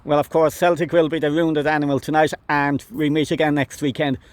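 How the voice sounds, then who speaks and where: High-voiced Irish club interviewer. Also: male voice, little pitch movement, a little fast, no room sound. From Ireland. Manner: hesitant, many "um"s. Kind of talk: post-match interview questions.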